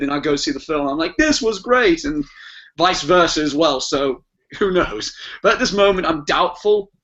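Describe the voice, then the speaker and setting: A man with a British accent and a somewhat high voice chats informally about his opinions. He voices his own past thoughts and qualifies them along the way. The audio is dull and lo-fi. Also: fairly bright tone. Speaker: podcast guest panelist. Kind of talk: casual opinionated chat.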